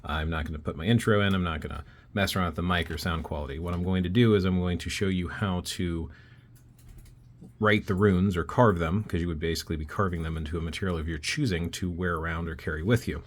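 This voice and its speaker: A man with a deep voice walks through a hands-on lesson in a relaxed, unpolished way. He talks while he draws each shape and often thinks aloud as he goes. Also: fast talker, slight background noise. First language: not Icelandic. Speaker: self-taught rune hobbyist teaching. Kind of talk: casual step-by-step tutorial.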